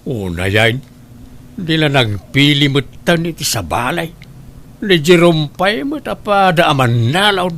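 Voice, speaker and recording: A man's voice: on the high side, very animated, a little slow, fairly bright tone, heavily acted. A man with a Filipino accent playing a stern, nagging middle-aged father and uncle in a comedy drama, scolding and questioning. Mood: probing. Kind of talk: amateur comedy drama acting.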